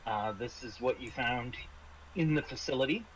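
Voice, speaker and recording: A man running a tabletop game as game master, narrating casually and haltingly with dry, understated humour. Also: mid-range voice, a little hiss or hum, poor audio.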